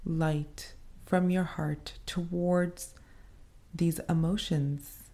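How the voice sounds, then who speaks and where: Female meditation host: low-pitched, relaxed tone, soothing delivery in short, spaced phrases. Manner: soothing, unhurried, pausing between short phrases. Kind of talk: slow guided meditation.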